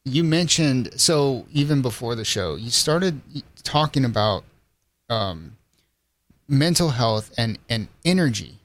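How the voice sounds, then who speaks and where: Male host, average pitch, informal chatty interviewer who phrases questions haltingly, dry close sound. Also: expressive, fairly bright tone, no room sound. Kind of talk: casual chat.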